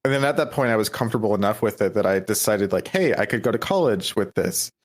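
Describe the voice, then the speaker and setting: A young man talks in a relaxed interview, his voice sitting a little low. He hedges with plenty of fillers and pokes fun at himself, laughing easily. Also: quick pace. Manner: self-deprecating, many fillers, laughs easily. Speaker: podcast guest. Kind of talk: casual interview chat.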